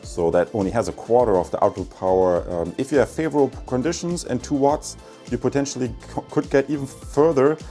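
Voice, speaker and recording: A man's voice: mid-range voice, fairly quick, dark tone, faint music behind. A man giving a casual product review as a vlogger.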